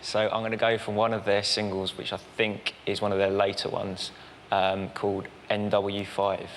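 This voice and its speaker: Low, even-voiced male contestant, echoing studio. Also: normal speed. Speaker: quiz contestant. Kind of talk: casual answering. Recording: reverberant studio, off-mic, noisy.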